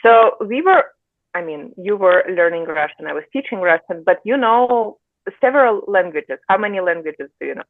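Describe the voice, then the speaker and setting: A woman's voice: medium pitch, animated, normal speed, no room sound. Woman on a tinny, phone-like call. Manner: friendly, prompting with short backchannels. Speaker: host, Russian teacher. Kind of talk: casual interview. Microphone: video call.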